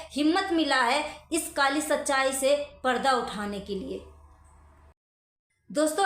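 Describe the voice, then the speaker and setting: A woman's voice: high voice, sharp tone, echoey room. A woman vlogger giving earnest, emphatic, repetitive commentary addressed straight to her viewers.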